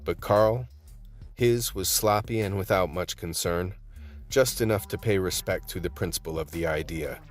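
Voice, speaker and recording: Hard-boiled cop narrator played by a man: low, weary, measured, radio play with faint music underneath. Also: natural voice. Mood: grim, measured. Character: world-weary male undercover vice cop telling his story.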